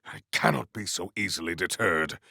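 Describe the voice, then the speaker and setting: An actor voicing a proud, honourable video game warrior who speaks in a formal, archaic, solemn and theatrical way. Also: male voice, deep voice, moderate pace, gravelly, bright, forceful tone, husky, dry recording, studio quality, exaggerated voice. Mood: brooding, grim. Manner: formal, archaic, grandiose phrasing.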